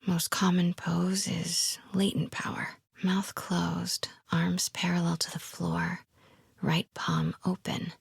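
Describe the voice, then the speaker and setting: A woman playing a plucky, quick-witted young female investigative reporter in a video game, with a breathy voice. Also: on the low side, completely flat delivery, no echo, clean studio sound, exaggerated voice. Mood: hushed, focused. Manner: hushed muttering to herself.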